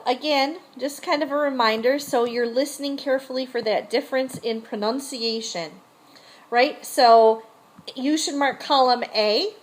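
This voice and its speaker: A woman's voice: a little high, rough recording. A woman teacher casually and patiently explaining a listening exercise.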